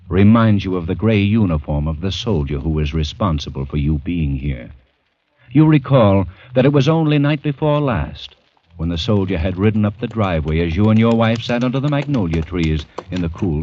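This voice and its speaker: An actor playing a grave, deliberate narrator in a vintage radio drama, telling of a condemned man's ordeal with intensity. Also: low-pitched, no room sound. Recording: vintage radio, dull, loud music behind.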